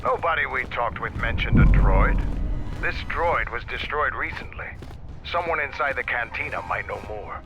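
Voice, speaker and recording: A man's voice: mid-range voice, lively intonation, normal speed, highs cut off, loud music behind the voice. A man playing a blunt, disdainful war veteran in a video game, clipped, through a radio-like filter. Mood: blunt, appraising. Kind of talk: video game character dialogue.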